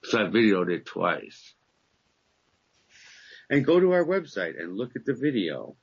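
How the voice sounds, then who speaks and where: A boastful man in his fifties trades jokes on a podcast, his pitch swinging widely as he talks. His voice is low and a little husky. The recording is dull and lo-fi. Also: unhurried. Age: 50s. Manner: boastful, joking, animated storytelling. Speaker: podcast co-host. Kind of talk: casual banter.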